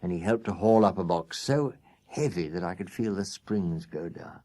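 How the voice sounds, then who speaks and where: A man narrates a children's audiobook with a low-pitched, very mellow voice. He reads in a measured storytelling style, dramatizing a first-person tale for young listeners.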